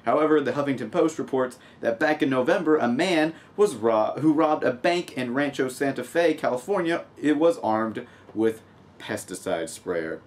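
Young adult man, from the US, casual vlog host, humorous news commentary, sarcastic asides, medium-pitched voice, home desk recording. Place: small home room with desk, indoors.

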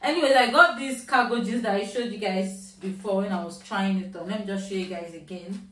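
A woman's voice: mid-range voice, fairly quick, a bit bright. Chatty Nigerian woman vlogging from home. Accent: Nigerian, Yoruba. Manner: chatty, mixing in Nigerian Pidgin phrases. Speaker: shopping haul vlogger. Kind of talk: casual chat to camera. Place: at home, indoors. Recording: roomy, mic at a distance.

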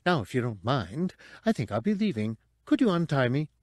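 A man's voice: mid-range voice, big pitch swings, moderate pace, no echo, clean studio sound, pitched above the performer's own voice, natural voice. A man voicing a polite, servile droid with formal speech and a metallic tone. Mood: courteous. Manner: formal, courteous.

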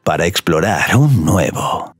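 A man's voice: low-pitched, sing-song, unhurried, husky, no echo. A man narrating a scripted romantic audio story as a storyteller. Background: music.

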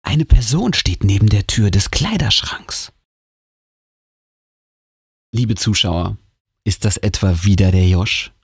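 A man's voice: on the low side, animated, slow pace, long pauses, slightly rough, no echo, studio quality. A man reading a scary story aloud as host, in a theatrical, suspenseful audiobook manner.

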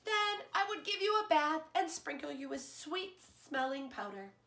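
A loving, patient mother, voiced by a woman reading aloud, soothing her child with warm promises. Her voice is high and bright, with a lively, singsong rise and fall. The room gives it a noticeable echo. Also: a little slow, heavily acted. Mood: tender. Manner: tender, reassuring storybook delivery. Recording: roomy echo.

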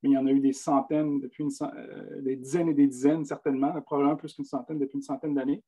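Mellow Quebec French panel moderator. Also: male voice, medium pitch, little pitch movement, poor audio. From Abitibi-Témiscamingue, Quebec. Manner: wordy, halting questions with restarts. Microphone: webcam on a video call.

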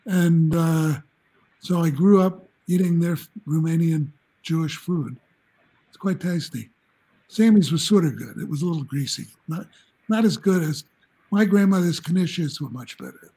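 This is an older man in his seventies, speaking from a room in his home over a video call. His voice is mellow and a bit husky, sitting somewhat high for a man. He tells stories in a loose, rambling way, chuckling and restarting his sentences. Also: unhurried, poor audio. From New Jersey, United States. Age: 70s. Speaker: guest, journalism professor. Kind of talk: casual interview storytelling.

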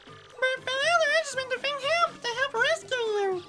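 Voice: very high-pitched, moderate pace, loud music behind the voice. A performer voicing a small, earnest young male bug creature in a video game, whiny and flustered. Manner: whiny, pleading.

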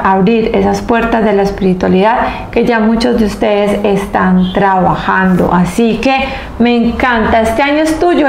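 A woman in her thirties giving a spiritual tarot reading to camera, earnest and emphatic, speaking in short phrases with pauses. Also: fairly high pitch, gravelly. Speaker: tarot reader and host. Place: small indoor room, seated at table. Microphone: clip-on lapel mic. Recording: roomy echo with faint background noise.

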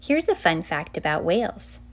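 A middle-aged woman reads a children's book aloud as a storytime host, with a clear, measured storytelling delivery. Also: on the low side, big pitch swings. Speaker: children's author and librarian hosting a storytime.

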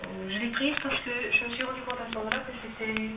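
A woman in her thirties, a photographer in an interview, talking about her photographs in a halting way full of fillers, seated at a table indoors. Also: a little high, gravelly, distant mic, heavy background noise. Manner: hesitant, halting with many fillers. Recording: tinny archive film replayed in an echoey gallery from afar.

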